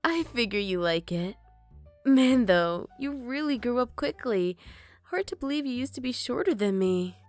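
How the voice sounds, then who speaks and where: A woman's voice: on the high side, lively intonation, normal speed, faint music behind, natural voice. A woman playing a teasing former babysitter.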